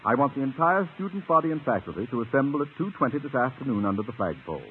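Through a narrow, clearly noisy 1950s radio recording comes a pompous school principal acted by a man. He is middle-aged and blustering, with grandly florid, commanding delivery made for broadcast comedy. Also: medium pitch, very animated, racing, natural voice. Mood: pompous, exasperated. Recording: noisy, band-limited old radio recording.

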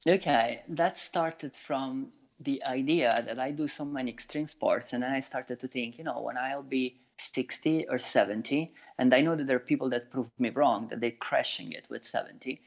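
Thoughtful man in his forties, plain pitch. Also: normal speed, vocal fry, hardly any pauses. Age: 40s. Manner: thoughtful, explains with everyday examples, frequent restarts. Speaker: meditation teacher and flute maker. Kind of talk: casual interview answers.

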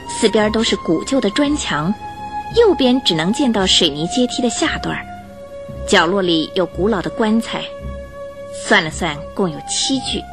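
Female storyteller for a mystery radio play, expressive and animated, mid-pitched, over a music bed. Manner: expressive, suspenseful. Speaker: narrator. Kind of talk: dramatic scripted storytelling for radio drama. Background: music under the voice.

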